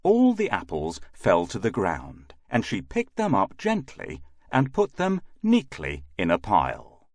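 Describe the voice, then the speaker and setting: Casting: male fairy-tale narrator for children, unhurried, hugely varied intonation, dry close sound. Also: lowish voice, wildly swooping pitch, darkish tone, no room sound. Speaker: storyteller, narrator.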